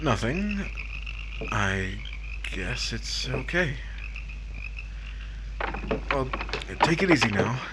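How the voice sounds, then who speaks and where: Actor as slow-talking, weary radio drama husband. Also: male voice, mid-range voice, big pitch swings, very noisy, full character voice. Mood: uneasy, compliant. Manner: halting, hesitant delivery in short phrases. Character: weary, hesitant married man out at night. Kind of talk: 1950s radio drama acting.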